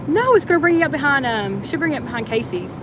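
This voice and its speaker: A woman's voice: sits high, very animated, fast. A woman with a Kentucky-tinged Southern Indiana accent, co-hosting a paranormal livestream and chatting casually with viewers from a car. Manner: chatty, teasing, addresses viewers. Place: inside a car on country roads. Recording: road and engine noise.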